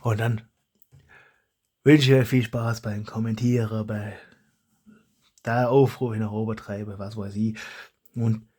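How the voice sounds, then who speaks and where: A man's voice: fairly low pitch, flat delivery, unhurried, long pauses, dark tone. A man with a German regional dialect, hosting his hobby channel in a rambling, self-correcting casual monologue.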